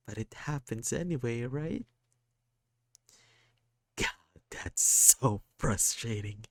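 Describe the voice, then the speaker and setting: A sad, quick-tempered kid, as a man puts the voice on for a storybook. The voice sits well above a grown man's and comes out strained and close to tears. It is slow and dry. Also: mid-range voice, darkish tone, no echo, pitched above the performer's own voice. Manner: raised, strained and tearful.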